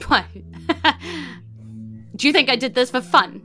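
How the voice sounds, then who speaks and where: Woman doing a hoarse bitter bird guy captive in a tabletop game, agitated, music behind. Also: sits high, lively intonation, a little slow, pushed and bright, voice pushed up, full character voice. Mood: indignant. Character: bitter, agitated male birdfolk prisoner with dark feathers.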